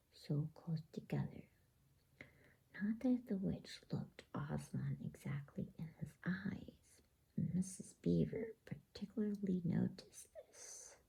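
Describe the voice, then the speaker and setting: A woman reading a bedtime story in ASMR style, with a hushed, breathy, husky whisper and an unhurried manner. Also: fairly low pitch, poor audio.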